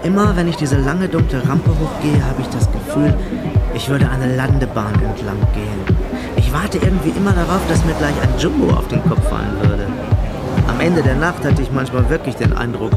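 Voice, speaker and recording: Actor doing a club guy showing you round in a radio play, chatty and musing, loud music and crowd. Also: medium pitch, normal speed, close to an everyday voice. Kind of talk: conversational radio drama monologue.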